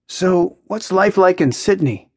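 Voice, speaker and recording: A woman's voice: lowish voice, animated, measured pace, darkish tone, dry recording, clean studio sound, voice pushed up. A woman narrator voicing a wry, guarded young man with a nonchalant, understated manner in a story. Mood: curious, amused.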